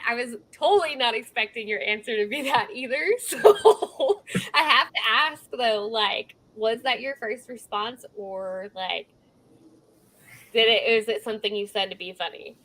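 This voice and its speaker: A woman's voice: fairly high pitch, animated, normal speed, fairly bright tone, rough recording. A woman hosting a casual interview, upbeat and giggly as she asks her questions. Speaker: host.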